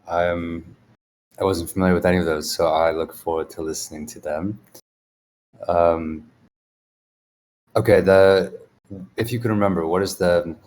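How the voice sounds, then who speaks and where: A man's voice: low voice, a little mellow, slight background noise. A man hosting an interview, asking questions and giving brief encouraging responses. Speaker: interviewer host.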